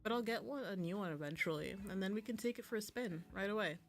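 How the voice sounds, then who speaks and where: A woman's voice: medium pitch, fast, lightly acted. A woman voicing a young, nerdy, easygoing male student in a visual novel, talking in casual slang. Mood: relaxed, keen. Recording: music playing behind the voice.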